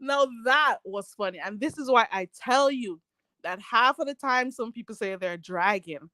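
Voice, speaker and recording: A woman's voice: a little high, normal speed, bright, forceful tone, dry recording. A woman hosting a casual livestream, reading out chat comments and chatting in a rambling way with lots of fillers and frequent laughter.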